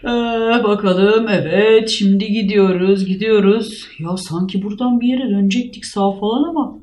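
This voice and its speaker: A woman's voice: medium pitch, fairly even intonation, normal speed, darkish tone, pitched below the performer's own voice, lightly acted. A woman voicing an overconfident, doting husband doll in a playful cartoon, insistent and reassuring, easily flustered. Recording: roomy echo, music underneath.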